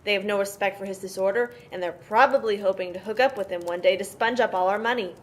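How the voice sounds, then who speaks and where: A woman's voice: mid-range voice, fast, lightly acted. Matter-of-fact, protective sister played by a woman, noisy recording. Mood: protective, firm. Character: protective, tough sister mothering five troubled orphaned brothers. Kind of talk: amateur audio play, in-character interview. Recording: noisy amateur home recording.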